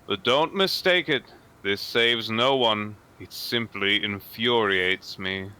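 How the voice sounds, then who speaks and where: A man voicing a cold, haughty, wrathful god who speaks in a slow, pompous, menacing manner. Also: lowish voice, sharp tone, smooth. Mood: contemptuous anger.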